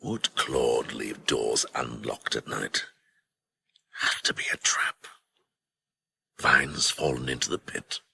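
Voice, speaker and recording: A man's voice: extremely low pitch, takes it slowly, gravelly, pauses often, pushed and bright, breathy edge, full character voice. An actor playing a sardonic, cocksure and reckless senior policeman in a radio crime drama, clipped and commanding. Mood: sardonic, hushed.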